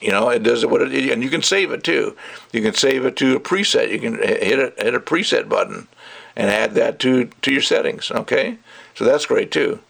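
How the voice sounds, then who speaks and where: A man's voice: medium pitch, normal speed, vocal fry, a bit bright. A man giving a casual, rambling product review in an opinionated, emphatic way with conversational asides. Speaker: gadget reviewer.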